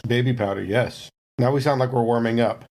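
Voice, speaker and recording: A man with a medium-pitched voice makes casual podcast banter. He is jokey and puts things in a mock-serious way, as one of the cast. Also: fairly quick, a little mellow. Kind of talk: casual chat.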